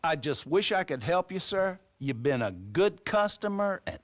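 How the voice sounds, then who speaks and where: A man's voice: fairly high pitch, sing-song, moderate pace. An actor playing a polite, exasperated frontier businessman, apologetic in manner, in a video game drama.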